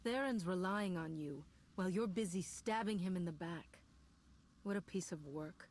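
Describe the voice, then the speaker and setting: A woman playing a cool, blunt Jedi heroine in a dramatic video game, speaking in a dry, measured way. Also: on the low side, a little mellow, close to an everyday voice. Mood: accusing, dry.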